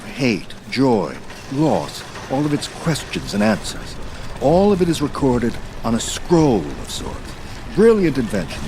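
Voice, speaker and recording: Theatrical ancient god, swooping delivery. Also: male voice, medium pitch, noisy background. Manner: theatrical, erudite, wryly polite. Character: ancient godlike male being, theatrical, amused, urbane. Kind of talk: audio drama acting.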